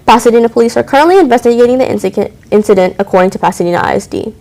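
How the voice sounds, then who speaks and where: A teenage girl anchoring a school news show, reading announcements briskly with occasional stumbles. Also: a little high, warm tone. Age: teens.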